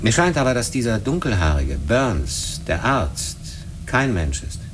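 A man's voice: lowish voice, very animated, unhurried. An actor in a German radio drama playing an earnest crewman who speaks hesitantly and confidingly. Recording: clear background ambient noise.

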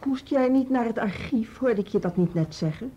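A woman's voice: on the high side, expressive, moderate pace, dark tone, husky, highs cut off. An actress playing a dry, needling policewoman, curt and sarcastic, in a radio drama. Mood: dryly needling.

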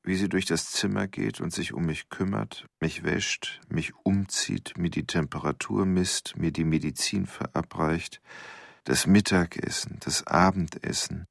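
An actor playing a sickly, haunted killer in a halting inner monologue for a radio play, gravelly and husky. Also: male voice, low-pitched, fairly even intonation, no echo, studio quality, heavily acted. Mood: weary, haunted. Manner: halting, brooding, clipped phrases.

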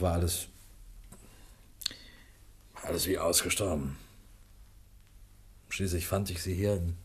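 Police inspector, lowish voice, matter-of-fact, world-weary, dry, radio mystery. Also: male voice, breathy edge. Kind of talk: German radio mystery drama acting.

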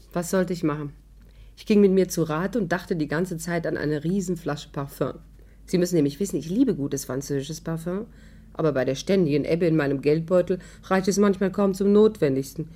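A mellow, slightly low-voiced young woman narrates her own adventure in a radio play. Her delivery is wry and confiding. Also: moderate pace. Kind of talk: confiding first-person radio drama narration.

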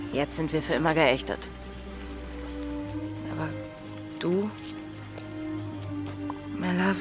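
A woman's voice: medium pitch, lightly acted. An actress playing a haunted, resolute female pirate in a German radio drama, intense and brooding. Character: Anne Bonny, wanted female pirate, haunted, resolute lover. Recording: studio radio play with prominent music.

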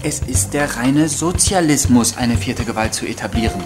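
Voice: mid-range voice, moderate pace, natural voice. A performer playing a puzzled investigative reporter in a radio drama, pressing for answers with some impatience. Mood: insistent. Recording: prominent music under the dialogue. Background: music.

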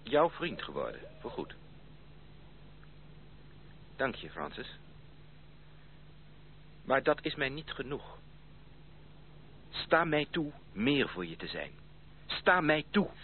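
An actor playing a courteous, ardent gentleman suitor in a Dutch radio drama, with a formal, gallant way of speaking. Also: medium pitch, sing-song, slow, long pauses. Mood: ardent, tender. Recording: tinny, noisy old radio play recording.

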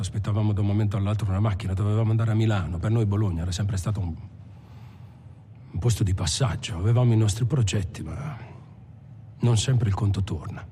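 An actor as a low-voiced, scornful bank robber. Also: male voice, lightly acted. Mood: flat regret. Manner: contemptuous, matter-of-fact. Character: cold, scornful male bank robber recalling his last shootout. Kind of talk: dramatic first-person monologue in a film. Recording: music behind the voice. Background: music.

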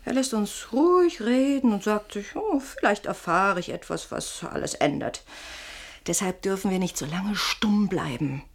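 A woman's voice: medium pitch, animated, unhurried. An actress playing a shrewd, insistent woman in a German radio play, pressing her point with persuasive, pointed irony. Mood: wary, explaining.

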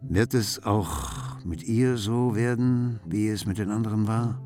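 A man's voice: lowish voice, unhurried, a little mellow, faint music behind, close to an everyday voice. A man narrating a literary radio play in an observant, composed way. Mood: composed, wry.

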